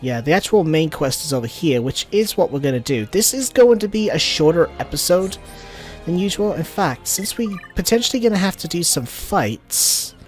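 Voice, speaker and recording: A man's voice: fairly high pitch, big pitch swings, moderate pace, soft background music. A man giving casual gameplay commentary as a let's play host.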